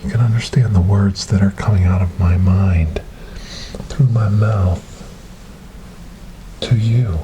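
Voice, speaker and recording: A man playing a calm, hypnotic government paranormal investigator in a whispered ASMR horror role-play, speaking softly, breathily and deliberately. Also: deep voice. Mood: soothing, hypnotic. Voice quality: soft, breathy, often dropping into whisper. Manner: hushed, deliberate, repetitive, hypnotic. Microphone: close binaural microphone. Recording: noisy close binaural recording.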